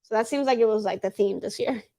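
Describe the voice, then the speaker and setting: A woman's voice: on the high side, quick pace, warm tone. A woman co-hosting a casual livestream chat, often echoing and finishing her partner's phrases. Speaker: co-host.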